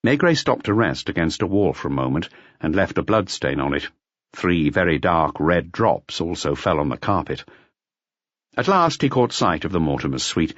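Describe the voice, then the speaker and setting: Man, very deep voice, lively intonation, scripted mystery narration, expressive. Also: husky, no room sound, muffled recording. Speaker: audiobook narrator.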